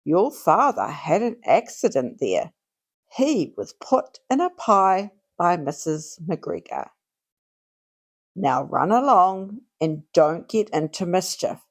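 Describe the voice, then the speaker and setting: An old mother rabbit from a children's storybook, read by a woman. She is gently firm and motherly, speaking slowly in a lowish voice with a measured, cautioning manner. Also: sing-song, exaggerated voice.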